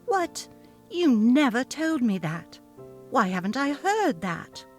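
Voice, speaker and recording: A woman's voice: high voice, sing-song, takes it slowly, soft background music, voice pushed up, full character voice. A woman voicing a haughty, narcissistic female office manager who talks down to her staff, in a dramatically read story. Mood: affronted. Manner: haughty, cutting, demanding. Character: snobby, imperious, narcissistic female office manager, cold and bullying. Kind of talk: dramatic reading of a text-message story.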